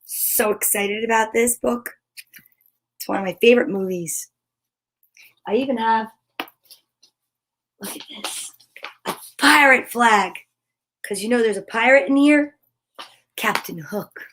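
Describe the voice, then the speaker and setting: A woman hosting a children's story time, reading picture books aloud with expressive exclamations and chatty, self-interrupting asides to viewers. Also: on the high side, unhurried, long pauses, slight background noise. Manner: expressive, gushing exclamations and gasps.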